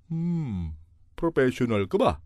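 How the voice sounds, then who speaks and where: Man acting as male bureaucrat, obtuse, hesitant, questioning, plodding, comic monologue, lively intonation, dry close sound. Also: mid-range voice, slow, no echo. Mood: skeptical, plodding.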